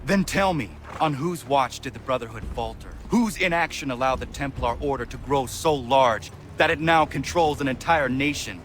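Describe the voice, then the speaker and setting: Actor as an earnest, stubborn young warrior. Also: male voice, mid-range voice, fairly bright tone, slight background noise, close to an everyday voice. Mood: defiant, angry. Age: young adult. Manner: blunt, formal, measured diction. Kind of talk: dramatic video game acting.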